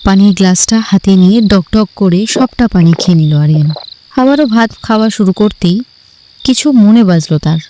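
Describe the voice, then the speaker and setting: A woman narrates a story in a mellow voice of middling pitch. Her delivery is scripted storytelling, with faint noise in the background. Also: animated, moderate pace, low-quality recording.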